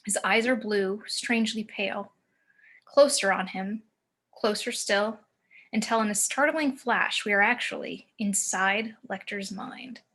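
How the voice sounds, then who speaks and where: A woman reading screenplay action descriptions as narrator in a table read over a video call, in deliberate short phrases. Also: mid-range voice, a bit bright. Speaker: stage-direction narrator.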